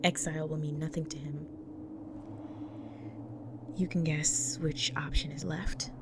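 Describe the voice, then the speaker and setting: A woman playing a grave, devoted vampire wife in an intimate audio roleplay, speaking solemnly to the listener in an often breathy, whispered delivery. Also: deep voice, steady tone, measured pace, background noise. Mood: solemn, resolute.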